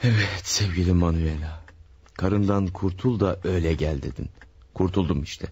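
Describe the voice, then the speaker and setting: A man's voice: deep voice, normal speed, a little mellow, slightly rough, highs cut off. A man playing a cold-blooded killer in a radio drama, muttering and gloating to himself as he hides his crime. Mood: scheming. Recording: old radio play with faint hiss.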